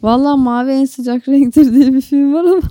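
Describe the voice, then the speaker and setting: A woman's voice: high-pitched, steady tone, a little fast, mellow, dry recording, studio quality. A woman co-hosting a podcast in casual, joking conversation. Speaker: podcast co-host.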